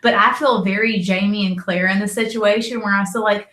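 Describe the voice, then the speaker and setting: A woman's voice: medium pitch, steady tone, fairly quick, a bit bright, echoey room. A woman with a Southern American accent, chatting casually while reviewing a TV episode and acting out quotes, with lots of "like" and "you know". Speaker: co-host of a TV review show.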